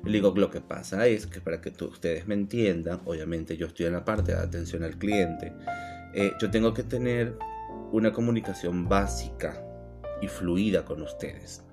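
A man's voice: sits low, moderate pace, creaky, music in the background. A man with a Venezuelan accent, touched by an Argentine lilt, co-hosting a casual podcast and telling stories that act out quoted dialogue. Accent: Venezuelan Spanish, partly Argentine-tinged. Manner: lively storyteller, acts out quoted dialogue. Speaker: podcast co-host.

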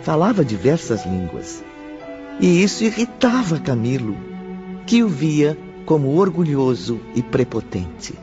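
A man's voice: on the high side, big pitch swings, measured pace. A man acting as the reflective narrator of a radio drama, in a literary, theatrical manner. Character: reflective narrator, recounting memories of a friend's circle. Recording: dull lo-fi radio drama, music behind.

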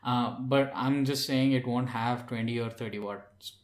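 A man co-hosting a tech podcast, explaining things in a measured, conversational way, with a roomy, echoing sound. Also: medium pitch, fairly even intonation. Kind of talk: casual tech discussion.

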